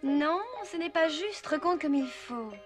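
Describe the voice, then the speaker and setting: High-voiced, gently strict cartoon guardian. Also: female voice, a little slow. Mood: strict, patient. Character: firm, patient female guardian and teacher. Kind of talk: French cartoon dub. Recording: music behind the voice.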